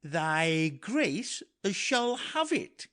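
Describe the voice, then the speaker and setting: A man's voice: a little high, very animated, extremely slow, a bit bright, full character voice. A man voicing a silly, whining jester with exaggerated singsong clowning in a dramatic Shakespearean audiobook. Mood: delighted, foolish. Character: silly, whining, high-voiced male jester.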